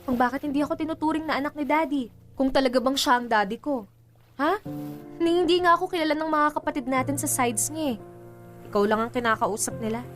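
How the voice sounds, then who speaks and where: A woman's voice: high-pitched, quick pace, close to an everyday voice. A woman playing a frank, cheerful 21-year-old daughter in a conversational radio drama, with a Filipino accent and a candid, teasing, reassuring manner. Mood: earnest, probing. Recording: music bed under the dialogue.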